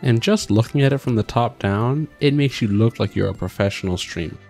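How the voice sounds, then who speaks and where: A man's voice: mid-range voice, animated, a little fast, darkish tone. A man doing an upbeat, scripted voice-over for a product review as a tech vlogger. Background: background music.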